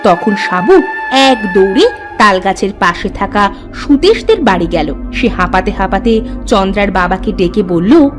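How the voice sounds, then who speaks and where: A woman narrating a cartoon fairy tale in a lively storytelling manner. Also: mid-range voice, moderate pace, over music.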